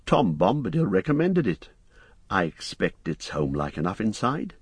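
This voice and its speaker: A man voicing a polite, reserved young male hobbit traveller, speaking quietly. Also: fairly low pitch, sing-song, normal speed, breathy edge, dry recording.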